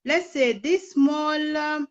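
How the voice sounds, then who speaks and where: Woman, 30s, raised in Kenya; earnest, persuasive interview guest, slightly higher voice, dull lo-fi sound. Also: slow pace. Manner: earnest, persuasive, asks rhetorical questions. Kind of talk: impassioned political talk.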